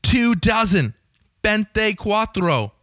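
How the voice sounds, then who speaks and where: A young man with a slightly raspy voice, hosting his podcast in a chatty, joking way and swearing casually. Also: high-pitched, sing-song, slow pace, a bit bright, no echo, studio quality, soft background music. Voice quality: a little raspy. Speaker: podcast host. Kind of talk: casual solo podcast intro.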